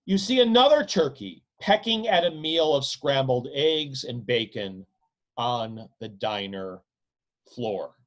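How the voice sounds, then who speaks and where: A man reading his own novel aloud in a dramatic literary style, with deliberate, emphatic delivery and repeated phrases. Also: mid-range voice, expressive, highs cut off.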